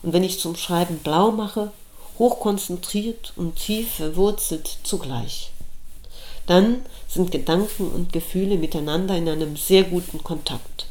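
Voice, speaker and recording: Middle-aged woman, unhurried reading voice, a little low, reflective essay, roomy echo. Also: a little mellow. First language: German. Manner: slow, measured, thoughtful reading.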